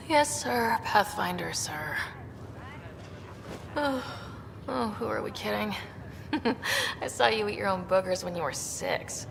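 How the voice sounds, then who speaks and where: An actress playing a plucky, teasing young woman with a creaky voice in a sci-fi video game. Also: medium pitch, animated, slow, long pauses. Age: young adult. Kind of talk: naturalistic video game cutscene acting. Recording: echoey reverb with clear background noise.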